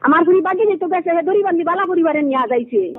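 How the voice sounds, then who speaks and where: A woman's voice: very high voice, animated, fast. A middle-aged woman with a Sylheti accent, venting in an aggrieved, repetitive way during a heated family phone conversation. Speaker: caller. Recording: tinny, phone-like call recording.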